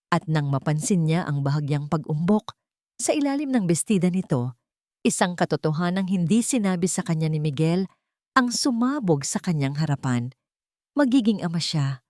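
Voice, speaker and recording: A woman's voice: sits low, darkish tone, no room sound, studio quality. A woman with a Filipino accent reading a scripted romance story as a dramatic narrator.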